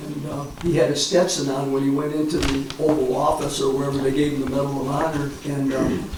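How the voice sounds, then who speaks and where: An American man in his seventies, a war veteran reminiscing informally in a halting, rambling way with frequent pauses. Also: medium pitch, level tone. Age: 70s. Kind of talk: informal reminiscence at a public talk. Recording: echoey, distant mic, clear background noise.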